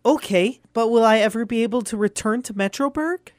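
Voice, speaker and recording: Woman acting a high-voiced, eager young boy. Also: fairly quick, a little mellow, no room sound, clean studio sound, lightly acted. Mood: worried, curious. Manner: earnest, wide-eyed.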